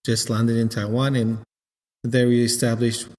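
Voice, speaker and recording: A man in his fifties, originally from Israel and not an English speaker, who talks in a halting, casual way, often repeating words. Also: medium pitch, level tone, a little mellow. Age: 50s. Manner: halting, repeats words while searching for them. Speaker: winemaker guest. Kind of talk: casual interview. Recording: long hall reverb.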